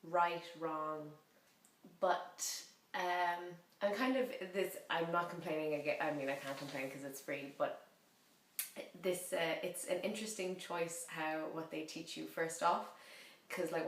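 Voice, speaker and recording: Low-voiced chatty woman in a roomy echo. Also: steady tone, measured pace, vocal fry. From half French, French mother. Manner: rambling, self-correcting, chatty and playful. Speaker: vlogger learning a language. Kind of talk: casual chatty vlog.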